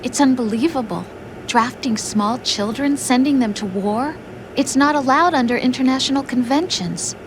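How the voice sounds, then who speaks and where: Woman playing a high-voiced, tender, worried girlfriend. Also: moderate pace, background noise, lightly acted. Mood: disbelieving. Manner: gentle, pleading, emotional. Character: tender, worried young woman consoling the man she loves. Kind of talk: dramatic video game cutscene acting. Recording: music behind the voice.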